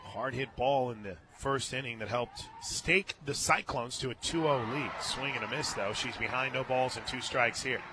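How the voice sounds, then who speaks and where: A man with an American accent doing live play-by-play radio commentary of a softball game, moving between chatty asides and excited calls. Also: medium pitch, moderate pace, few pauses. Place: open radio booth at a ballpark. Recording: outdoor stadium noise behind the voice. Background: ballpark ambience.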